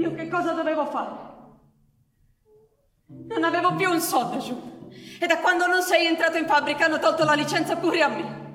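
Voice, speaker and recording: A woman's voice: high voice, a bit bright. An actress playing an exasperated, protective young working mother in a radio drama, pleading and defiant. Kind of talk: stage-style radio drama acting. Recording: echoey hall, distant mic, music behind.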